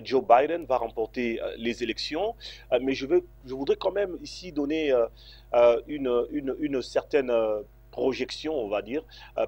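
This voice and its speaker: Man, from Congo, husky voice, hesitant with fillers, dull dry sound. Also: mid-range voice, darkish tone, dry recording. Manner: hesitant, many fillers and restarts. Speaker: interview guest. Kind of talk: remote interview answers.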